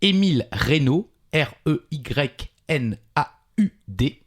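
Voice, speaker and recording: A man's voice: on the high side, sing-song, takes it slowly, breathy edge. A man co-hosting a quiz podcast, chatting casually and reading out trivia with a playful, teasing touch.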